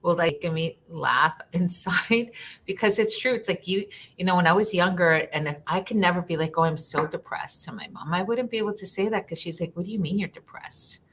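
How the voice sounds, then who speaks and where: Social worker guest from Ecuador, a woman, lowish pitch, earnest and halting, faint rumble underneath. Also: fairly bright tone. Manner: earnest, repeats words while thinking, slips into Spanish for quotes. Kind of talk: live online conversation.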